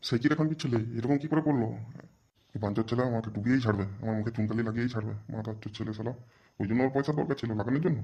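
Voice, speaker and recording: Grumpy fast-talking Bengali father, acted by a man. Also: medium pitch, warm tone, highs cut off, close to an everyday voice. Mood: exasperated. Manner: scolding, cursing. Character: grumpy, stingy, foul-mouthed middle-aged father. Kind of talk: amateur comedy cartoon dub.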